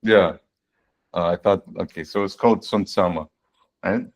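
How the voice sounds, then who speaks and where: A man in his forties, a guest on a video-call fireside chat, explaining his work in a relaxed way with frequent restarts and "right?". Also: low-pitched, normal speed. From born in the United States, raised in Saudi Arabia and Canada. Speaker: guest, fractional CTO.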